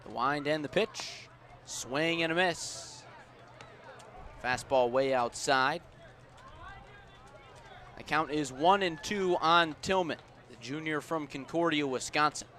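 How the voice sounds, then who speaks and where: A man calling live baseball play-by-play on the radio, with measured phrasing and rising emphasis on plays. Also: a little high. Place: outdoors at a baseball stadium. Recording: outdoor ballpark ambience, steady background noise. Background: outdoor ambient noise.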